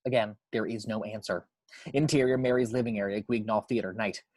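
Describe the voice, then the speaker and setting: A man reading screenplay action description as a narrator, with measured, suspenseful storytelling. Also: fairly low pitch, steady tone, a little mellow, no echo.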